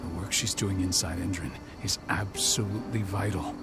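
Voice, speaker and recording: A proud, wounded pack leader in a game cutscene. His voice is low and grave, with weary, measured authority. Music plays clearly behind him. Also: fairly even intonation. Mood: stern, grim. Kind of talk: video game cutscene acting.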